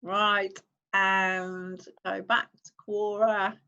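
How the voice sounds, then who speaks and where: A woman's voice: mid-range voice, level tone, extremely slow, bright, forceful tone, clean tone, dull audio. A woman, hosting a casual screen-share tutorial, chatting as she clicks through steps and reads on-screen text aloud. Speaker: online-income YouTuber host.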